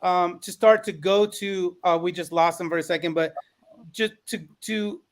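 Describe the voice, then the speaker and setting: A man's voice: sits high, fairly even intonation, moderate pace. A man speaking conversationally as a panelist, making his case in an articulate, persuasive way. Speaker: podcast panelist.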